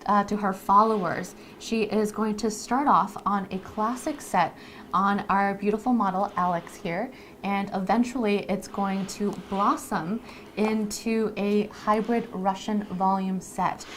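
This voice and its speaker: A woman's voice: mid-range voice, fairly even intonation, a little slow, fairly bright tone. A woman casually co-hosting a live stream, explaining things to the audience in a chatty, conversational way. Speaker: live stream co-host introducing a lash artist. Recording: clear background noise.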